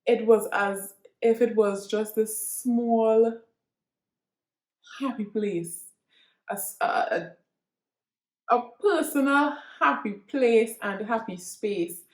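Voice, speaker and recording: Unhurried Trinidadian woman vlogger, echoey room. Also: medium pitch. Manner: earnest, halting, with frequent restarts and repeats. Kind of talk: reflective personal monologue to viewers.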